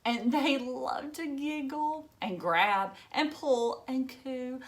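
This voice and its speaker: A woman teacher reading a children's storybook aloud, expressive and dramatic, as if to young listeners. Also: high-pitched, slow.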